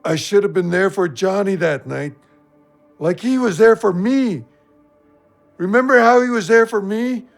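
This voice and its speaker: A mournful drinker in an audio drama, dwelling on guilt. His voice is high for a man, with a fried edge, and he drags his words out slowly over music. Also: gravelly. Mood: guilt-ridden. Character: grief-stricken drinking male friend, dwelling on guilt.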